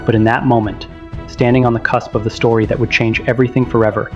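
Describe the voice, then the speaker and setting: A man's voice: mid-range voice, flat delivery, fast, natural voice. An actor as an earnest, grave storytelling man over music. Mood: grave, reflective. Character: grown Kal-El recounting his boyhood, earnest and grave. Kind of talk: scripted narration of a comic adventure.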